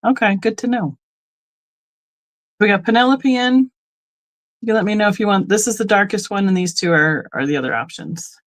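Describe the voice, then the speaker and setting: A woman's voice: mid-range voice, normal speed, long pauses. Chatty middle-aged woman hosting a live sale. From Colorado, United States. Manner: chatty, rambling asides, frequent ums and laughs. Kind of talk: casual live-stream selling chat.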